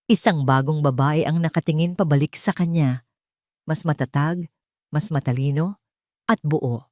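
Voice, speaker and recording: A Filipino woman delivering dramatic scripted story narration in a measured, sentimental way with deliberate phrasing. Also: low-pitched, a little mellow, no echo, studio quality.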